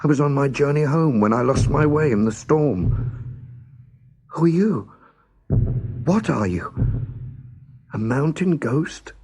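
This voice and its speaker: A man's voice: fairly high pitch, animated, takes it slowly, long pauses, a little mellow, breathy edge, pitched above the performer's own voice, exaggerated voice. A man voicing a small lost girl in a storybook reading, earnest and polite, with a timid tremble. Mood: fearful.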